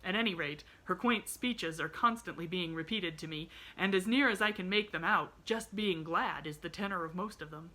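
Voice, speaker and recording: A woman's voice: on the low side, normal speed, hardly any pauses, sharp tone. A woman voicing a whimsical, bemused male doctor in a storybook, musing wryly. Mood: wry, amused.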